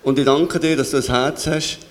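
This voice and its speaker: A man with a Swiss German accent leading a church service, interviewing a guest in a chatty, halting way and praying. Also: medium pitch, steady tone. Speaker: pastor.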